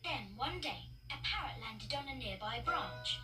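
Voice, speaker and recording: A woman narrating children's stories as a read-along, expressive, emphatic and playful. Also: on the high side, unhurried. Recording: distant-sounding, loud music behind. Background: music.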